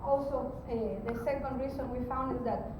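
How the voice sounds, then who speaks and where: Woman explaining stuff at a conference, super echoey room, mic far away, halting delivery. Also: fairly even intonation. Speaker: conference presenter. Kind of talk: explanatory technical presentation. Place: conference room, recorded from a distance. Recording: very echoey, distant mic, rumbling background noise.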